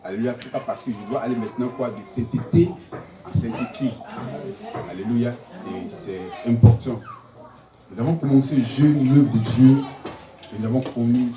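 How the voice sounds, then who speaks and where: A man's voice: mid-range voice, normal speed. Male pastor in his forties, sermon delivery, punctuates with alleluia and amen; recorded from a distance in a roomy hall with noise behind. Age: 40s. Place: church hall. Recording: echoey hall, distant mic, noisy.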